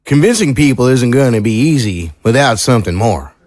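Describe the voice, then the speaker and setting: An actor playing an earnest, troubled small-town sheriff in an audio drama, speaking in a folksy, sincere, measured way. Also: male voice, medium pitch, lively intonation, darkish tone, no room sound, studio quality, close to an everyday voice. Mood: grave, candid.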